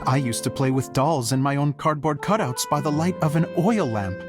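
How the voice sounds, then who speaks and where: Sentimental game-character director, male actor, swooping pitch, slow earnest delivery, music underneath. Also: animated, close to an everyday voice. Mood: nostalgic, grateful. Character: theatrical, sentimental grown male show director, grateful dreamer. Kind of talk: animated game cutscene acting.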